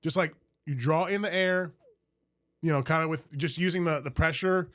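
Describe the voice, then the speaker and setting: A man's voice: fairly high pitch, normal speed, fairly bright tone. A man hosting a podcast interview, friendly and enthusiastic, with many fillers and restarts. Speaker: podcast host. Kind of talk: casual interview.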